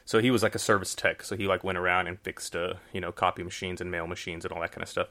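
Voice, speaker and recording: Texan guy, kinda low voice but bright n punchy, rambles a lot w fillers. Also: flat delivery, fast. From Texas, USA. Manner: rambling, many fillers and restarts. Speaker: magician guest. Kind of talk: casual interview chat.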